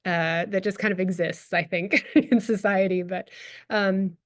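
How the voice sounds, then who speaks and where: American academic woman, 30s; thoughtful Q&A answers, halting, frequent ums. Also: mid-range voice, fairly even intonation. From United States. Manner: hesitant, many fillers and restarts, laughs often. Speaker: guest speaker, anthropologist. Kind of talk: lecture Q&A answer.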